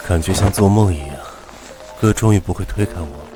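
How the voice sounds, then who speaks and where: A male actor voicing a devoted, quietly intense younger lover with a direct manner in an animated drama. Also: deep voice, a little fast, over music, natural voice. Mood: tender, longing.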